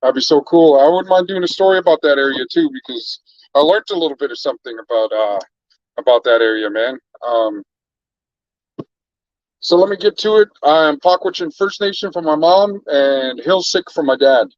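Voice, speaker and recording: A man's voice: a little high, animated, moderate pace, no echo, lo-fi sound. A man from Canada hosting a casual livestream chat, laid-back and rambling as he greets viewers by name. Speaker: livestream host.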